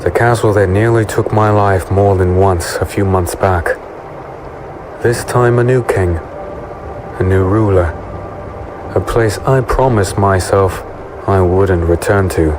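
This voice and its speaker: A man playing a brooding, haunted sellsword who narrates a dark fantasy audio drama in a grave, deliberate manner. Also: low voice, background noise. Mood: wary. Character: brooding male sellsword infiltrator, haunted former prisoner, narrating. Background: music under the voice.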